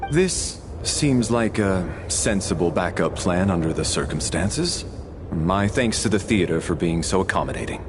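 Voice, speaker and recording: A man's voice: low-pitched, expressive, moderate pace, background noise. A man playing a polite, formal and sensible man in a video game. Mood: composed, courteous.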